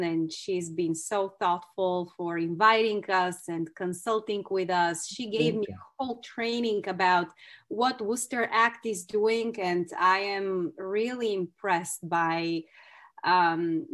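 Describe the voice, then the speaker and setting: Bulgarian-accented woman teaching over a call. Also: on the low side. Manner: warm, effusive, speaks with non-native phrasing. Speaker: clinical psychologist trainer. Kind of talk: online training presentation. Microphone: video call.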